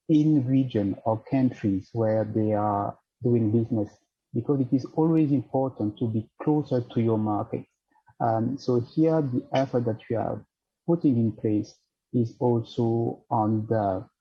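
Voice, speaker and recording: A man with a French-accented English voice, answering a panel question in a measured, formal way while searching for words. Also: medium pitch, slightly rough, muffled recording. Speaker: financial centre representative on a panel.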